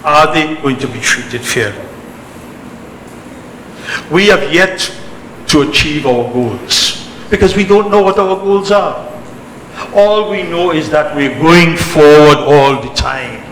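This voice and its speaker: A man from Trinidad who spent his early life in England, giving an emphatic, deliberate public speech to a community gathering in short, repeated phrases. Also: on the high side, fairly bright tone. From born in Trinidad, spent early life in England. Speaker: religious organisation leader giving a speech. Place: temple celebration, amplified to an audience. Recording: echoey hall PA, noisy background.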